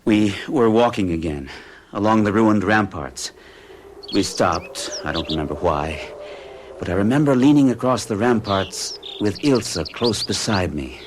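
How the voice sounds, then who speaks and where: A man's voice: on the low side, lively intonation, measured pace, a little hiss or hum. An actor playing a troubled young man recounting an unsettling romance to his psychiatrist in an earnest, hesitant way. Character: troubled young American man, hero in love and afraid. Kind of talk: 1970s radio drama acting.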